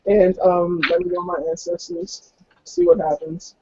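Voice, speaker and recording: A man's voice: high-pitched, a little slow, muffled recording, background noise. A man playing a tabletop roleplaying game, chatting casually and describing what his character does.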